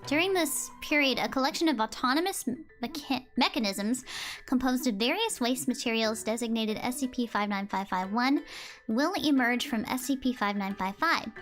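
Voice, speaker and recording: Bright high-voiced woman streamer, slightly hoarse. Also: normal speed, soft background music. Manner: chatty, giggly, stumbles over words while reading. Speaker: VTuber streamer. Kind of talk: live stream, reading aloud.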